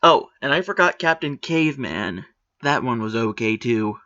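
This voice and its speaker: A man's voice: medium pitch, expressive, moderate pace, sharp tone, muffled recording. A man giving opinionated commentary on a written post, critical and matter-of-fact with sarcastic asides.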